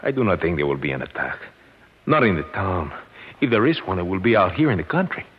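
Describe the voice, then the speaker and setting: An actor playing a calm, shrewd confederate with a husky voice in a 1950s radio drama. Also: male voice, deep voice, quick pace, full character voice. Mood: calm, knowing. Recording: dull old radio recording with long reverb.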